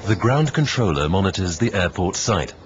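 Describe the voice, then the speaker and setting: Deep guy narrating a training film, measured n instructional, kinda dull lofi audio w music behind. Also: big pitch swings, noisy background. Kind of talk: scripted narration. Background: background music.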